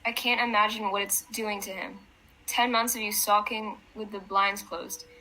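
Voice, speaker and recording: A woman's voice: mid-range voice, steady tone, moderate pace, sharp tone, a little hiss or hum, low-quality recording. A woman playing an exasperated, grieving wife at breaking point in a radio drama, firm and reproachful.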